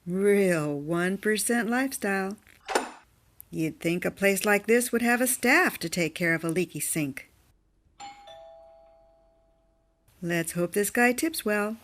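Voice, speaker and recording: A woman's voice: medium pitch, measured pace, a bit bright, a little hiss or hum, lightly acted. A woman playing a blunt, independent blue-collar plumber with a casual, dry manner in an audio drama. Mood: musing.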